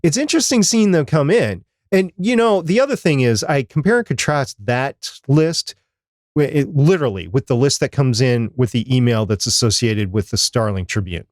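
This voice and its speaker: A man's voice: medium pitch, lively intonation, normal speed, dry recording, studio quality. A man speaking as a podcast co-host in a casual, conversational chat.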